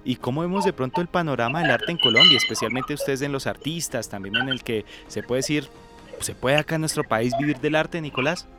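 A man's voice: mid-range voice, fairly quick, loud music behind the voice. A man hosting an interview, asking questions, giving affirming replies and closing with a formal sign-off. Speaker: interviewer, web show host.

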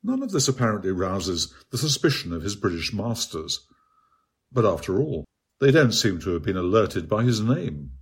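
A man reads his own literary essay aloud as scripted narration, in a measured, articulate and bookish manner. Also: on the low side, very animated, husky. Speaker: author reading own article.

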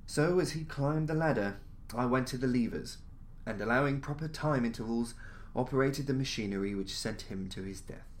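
A man reading a story aloud in a measured, formal, theatrical way, as a podcast narrator. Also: mid-range voice. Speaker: narrator, audiobook-style podcast reader. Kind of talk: dramatic scripted story narration. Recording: noticeable background noise, jungle-like ambience.

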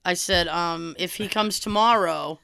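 Young woman, cold-hoarse, bright crisp voice, dry close sound, animated storyteller. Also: on the low side, unhurried, no room sound. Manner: animated, swearing, acts out quoted dialogue. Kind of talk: casual storytelling chat.

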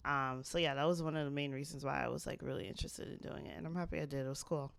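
A young woman co-hosting a podcast, chatting casually with quick asides that trail off. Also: a bass voice, steady tone, vocal fry, no room sound. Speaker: podcast co-host. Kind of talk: casual chat.

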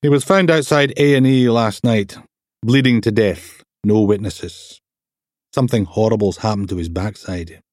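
A man's voice: on the low side, normal speed, dry recording, lightly acted. A man voicing a patient, matter-of-fact detective sergeant in a crime audiobook, in a plain and dry manner. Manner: plain, deadpan, factual.

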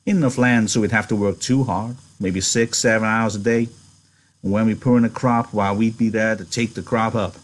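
A man's voice: on the low side, fairly even intonation, moderate pace, natural voice. A man voicing a ranch hand who describes a dream farm in folksy rural speech. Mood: dreamy. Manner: folksy, rural turns of phrase. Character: wiry itinerant ranch hand, dreamy but guarded.

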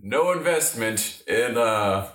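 A man's voice: mid-range voice, animated, slow pace, fairly bright tone. A man playing an evasive, self-important mayor in an improvised comedic radio play, with a stammering delivery. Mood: evasive, pompous. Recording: echoey room recording.

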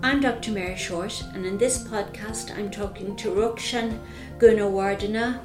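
A woman who is a doctor hosts a podcast, introducing her guest and asking him clear, measured questions. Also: mid-range voice, fairly even intonation, reverberant, music in the background. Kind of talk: podcast interviewing.

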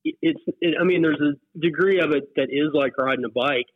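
A man's voice: fairly high pitch, a little fast, dry recording. A man in his forties with an American accent, chatting casually and reminiscing as an interview guest. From West Virginia, US. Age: 40s. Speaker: interview guest, whitewater paddler. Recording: muffled phone-like line, dry.

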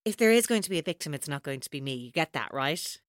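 A woman's voice: deep voice, lively intonation, quick pace, no room sound, studio quality. An actress playing a menacing, taunting woman captor in an audio drama, mocking and theatrical, shifting between playful and bitter. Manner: theatrical, mocking, mercurial, intense.

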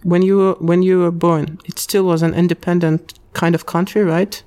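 A man hosting a podcast interview, speaking with careful, slightly halting phrasing. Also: sits high, flat delivery, a little mellow, smooth, no room sound. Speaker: podcast host. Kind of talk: casual interview.

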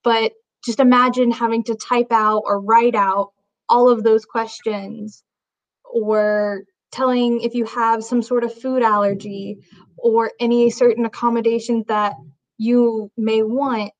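A woman's voice: fairly high pitch, flat delivery, a little slow. A young adult woman, a guest who teaches sign language, talking casually and rambling with lots of "like" and restarts. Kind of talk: casual conversation. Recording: dull lo-fi audio.

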